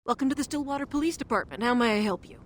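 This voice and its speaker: An actress playing a chatty, mildly weary police front desk clerk in a video game, with brisk, routine patter. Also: female voice, on the high side, a little hiss or hum, lightly acted. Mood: routine politeness.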